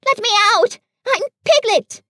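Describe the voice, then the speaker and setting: A woman voicing a tiny, timid young male piglet who squeaks, stammers and splutters. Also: fairly even intonation, takes it slowly, a bit bright, no room sound, clean studio sound, heavily acted. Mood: anxious.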